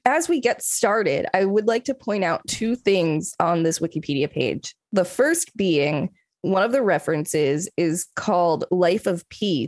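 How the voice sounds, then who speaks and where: A woman's voice: fairly low pitch, a little mellow, no room sound. A woman co-hosting a podcast, moving between casual chat and reading an article aloud. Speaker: podcast co-host.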